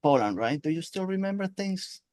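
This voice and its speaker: A man's voice: on the high side, big pitch swings, normal speed, a little mellow, no room sound, studio quality. Hesitant male interview host. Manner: hesitant, many fillers. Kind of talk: casual interview.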